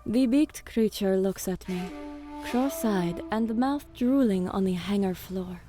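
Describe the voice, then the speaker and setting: A woman playing a composed female alien commander, narrating and speaking in a measured, formal, grave manner in an audio drama. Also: medium pitch, lightly acted. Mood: reflective, proud. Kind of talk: dramatic first-person narration and dialogue. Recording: voice over background music.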